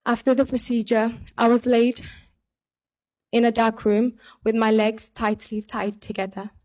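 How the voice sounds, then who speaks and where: A young woman from Somalia giving an earnest, measured speech as a pageant contestant from a podium microphone in a large hall. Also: fairly high pitch, little pitch movement, a little mellow, lo-fi sound. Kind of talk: prepared stage speech. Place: large indoor hall on stage. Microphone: podium microphone on stand.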